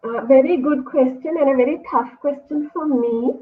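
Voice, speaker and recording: A woman's voice: high-pitched, normal speed. A woman with a Sri Lankan accent, a university English lecturer, explaining her views thoughtfully in an online interview. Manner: thoughtful, explanatory, self-correcting. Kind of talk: academic discussion in an online interview. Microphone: video call. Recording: phone-like online call audio.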